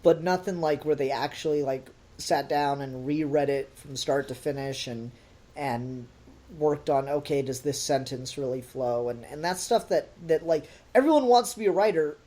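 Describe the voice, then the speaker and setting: A man's voice: on the high side, moderate pace, dark tone. Man in casual, analytical review chat. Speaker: podcast co-host reviewing audio dramas. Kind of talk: casual critical discussion.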